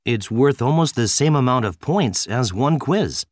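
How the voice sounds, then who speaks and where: Man acting casual exam dialogues, lively pitch. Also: measured pace, no room sound, studio quality. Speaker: student in scripted dialogue. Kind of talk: scripted dialogue reading.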